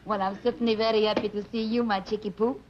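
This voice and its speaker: A woman's voice: mid-range voice, flat delivery, quick pace. A woman from Canada with a French accent, a quiz show contestant who giggles and flirts through her answers. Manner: giggly, coquettish. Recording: dull hissy old broadcast with audience. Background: studio audience.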